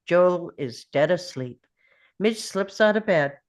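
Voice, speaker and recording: Slow, low-voiced woman reading stage directions. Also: lively intonation. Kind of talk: scripted narration, present-tense screenplay directions.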